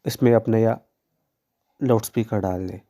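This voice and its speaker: A man's voice: fairly low pitch, steady tone, a little slow, warm tone. A man narrating step-by-step repair instructions in a plain, instructional way as a tutorial presenter.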